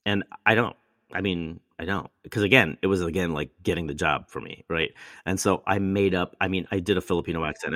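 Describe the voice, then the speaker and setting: A man's voice: low-pitched, a bit bright, dry recording, studio quality. A male actor telling long, rambling stories in casual chat, often restarting and quoting himself. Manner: rambling, many restarts and quoted asides.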